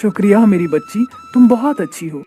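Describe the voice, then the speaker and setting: Woman as young mother, cartoon drama, gentle, caring, patient, background music. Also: medium pitch, animated, fairly quick, lightly acted. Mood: grateful.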